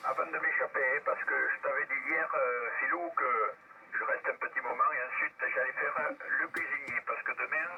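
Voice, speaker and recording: A man from southwest France with a gravelly voice, chatting casually and conversationally over amateur radio. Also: medium pitch, steady tone, normal speed. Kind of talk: casual ham radio check-in. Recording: very noisy, muffled shortwave radio transmission.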